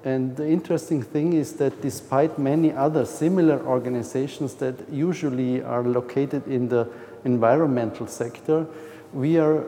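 A man's voice: medium pitch, mellow, long reverb tail, a little hiss or hum. A man from Austria, speaking English with a heavy Austrian German accent, gives a nervous, hesitant conference presentation with many fillers and restarts.

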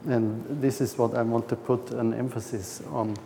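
Austrian guy giving a conference talk in english, nervous, lots of uhs, dark voice, faint room noise. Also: medium pitch, level tone, moderate pace, gravelly, in a large hall. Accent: Austrian German. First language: German. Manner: hesitant, frequent uh and restarts. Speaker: speaker. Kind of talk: conference presentation.

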